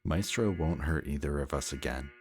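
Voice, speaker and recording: Very deep-voiced, intense antihero villain played by a man. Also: a little mellow. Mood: grim, protective. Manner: halting, muttering to himself, intense. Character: possessive, anxious male antihero villain, fiercely protective. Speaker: audio roleplay voice actor. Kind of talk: emotional dramatic audio roleplay. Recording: music behind the voice.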